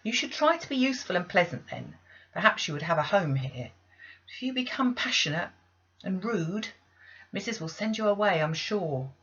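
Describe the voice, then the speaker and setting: A woman voicing a brisk nursemaid in a classic novel, firm and admonishing but not unkind. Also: medium pitch, expressive, a bit bright, breathy edge, dull audio, pitched above the performer's own voice. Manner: bossy, admonishing.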